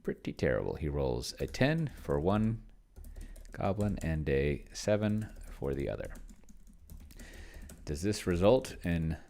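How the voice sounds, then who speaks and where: A man casually thinking aloud as he plays a solo tabletop game, halting and muttering to himself as he types. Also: rumbling low, sing-song, creaky, mellow, slight background noise. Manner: self-described pedantic. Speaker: solo tabletop player and video host.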